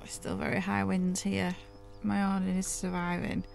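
A woman's voice: mid-range voice, monotone, normal speed, vocal fry, a little hiss or hum. A woman in her thirties from the UK, chatting casually to camera inside her camper van, a little stuffy with a sore throat. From United Kingdom. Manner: chatty, laughs often, coos at her dogs. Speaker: vlogger. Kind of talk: casual vlog chat to camera.

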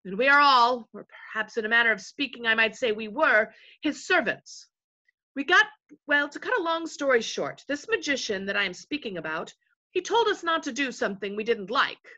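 An invisible servant chief from a storybook, acted out by a woman, full of folksy self-importance. The delivery rambles and digresses, with a very animated pitch and a bright edge. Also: moderate pace, muffled recording, full character voice. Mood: rambling, confiding.

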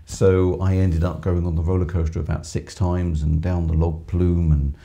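A middle-aged man with a hoarse voice, chatting on a live stream from a small music shop studio, reading out viewer comments with lots of ums. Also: deep voice, level tone, normal speed, dark tone. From England. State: hoarse from screaming. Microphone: headset with microphone.

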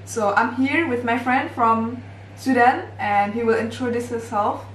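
Young Indonesian woman host, roomy and distant. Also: on the high side. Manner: playful, quick to laugh. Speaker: vlog host. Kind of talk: casual word-guessing game. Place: bright indoor room, on a sofa. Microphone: far camera. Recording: roomy, distant, faint hiss.

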